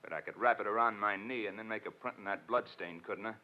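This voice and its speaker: An actor playing a menacing, gloating killer in a 1940s radio crime drama, with a sneering, boastful delivery. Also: male voice, fairly low pitch, fast talker, natural voice. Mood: gloating menace. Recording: muffled vintage radio recording.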